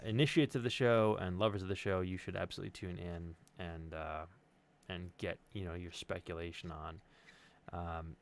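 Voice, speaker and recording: A middle-aged man hosting a casual livestream, chatting in a rambling, hesitant and joking way. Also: deep voice. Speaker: stream host and audio drama sound designer. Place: online group stream.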